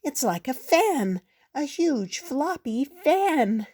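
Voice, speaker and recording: A woman's voice: high voice, sing-song, slow pace, darkish tone, dry recording, studio quality, pitched above the performer's own voice, heavily acted. An older woman voicing a startled, out-of-breath village woman in a storybook. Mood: breathless.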